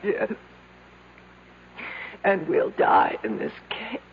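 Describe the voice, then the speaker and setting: A woman's voice: medium pitch, sing-song, extremely slow, a little hiss or hum. An actress playing a weak, despairing young woman trapped and fatalistic, speaking faintly in old-time radio drama style. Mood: bitter resignation. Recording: muffled lo-fi old radio broadcast.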